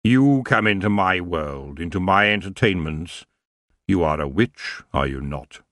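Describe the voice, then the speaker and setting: A man doing a slow, languid elf king. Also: deep voice, animated, fairly bright tone, no room sound, studio quality. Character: lazy, seductive male king of the elves.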